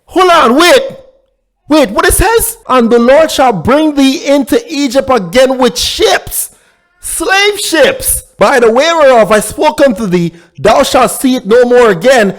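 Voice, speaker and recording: Guy from guyana preaching scripture, pretty high voice, drags out the verses sing-song then talks loose and casual. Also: animated. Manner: drawn-out emphatic scripture reading, sing-song rises; casual street talk between readings. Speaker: religious teacher.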